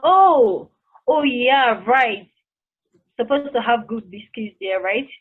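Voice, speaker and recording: A woman's voice: a little high, lively intonation, takes it slowly, husky, heavily acted. A woman reading the part of a wistful, sympathetic and ordinary woman in an amateur play table read, with reflective, hesitant delivery. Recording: tinny, phone-like call audio.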